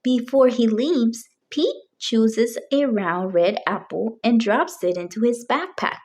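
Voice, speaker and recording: A woman reads a children's story aloud. Her pitch moves around in a lively way, and she takes her time, phrasing each sentence deliberately for young listeners.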